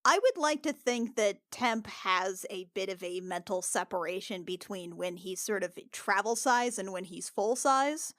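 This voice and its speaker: A woman's voice: medium pitch, animated, measured pace, few pauses, a bit bright, dry recording, studio quality. A woman playing in a tabletop role-playing podcast, narrating casually and tentatively as she thinks aloud. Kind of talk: casual improvised game narration.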